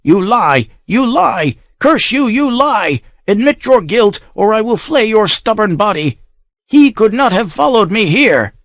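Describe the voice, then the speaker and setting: A man's voice: on the high side, sing-song, normal speed, hardly any pauses, a bit bright, no echo, clean studio sound, pitched above the performer's own voice. A man reading aloud, voicing a cruel count who roars and pants out accusations. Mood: raging. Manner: roaring, panting accusations. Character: raging cruel middle-aged count, half mad.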